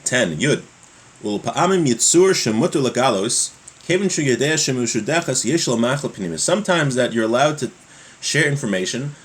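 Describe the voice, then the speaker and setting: A man giving a religious law lesson, reading from a text and explaining it in a halting way, repeating his points. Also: mid-range voice, animated, slightly rough. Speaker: religious teacher.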